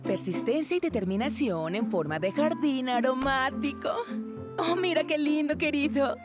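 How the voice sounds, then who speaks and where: A woman voicing a gentle, loving mother in a cartoon, patient and soothing. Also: high-pitched, lively intonation, normal speed. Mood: pleased. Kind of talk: animated cartoon dub. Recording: music behind the voice.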